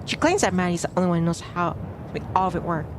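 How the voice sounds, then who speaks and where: A woman's voice: on the low side, expressive, quick pace, noisy background, lightly acted. A woman playing a self-doubting, observant young heroine, narrating a visual novel in a glum, confessional first person. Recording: music clearly behind the voice.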